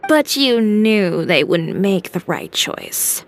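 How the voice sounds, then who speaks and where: A woman playing a composed, direct, sharp-minded young informant in a video game. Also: mid-range voice, big pitch swings, takes it slowly, slight background noise. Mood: cool, questioning. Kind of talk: video game cutscene acting. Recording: clear music behind the voice.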